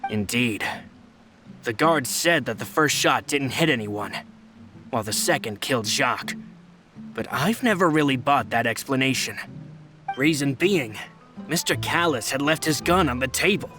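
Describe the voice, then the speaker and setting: An actor playing a loyal, earnest subordinate who speaks deferentially and deliberately in a video game cutscene. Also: male voice, mid-range voice, animated, music in the background, close to an everyday voice. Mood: serious, questioning. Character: loyal male subordinate bodyguard, earnest and measured.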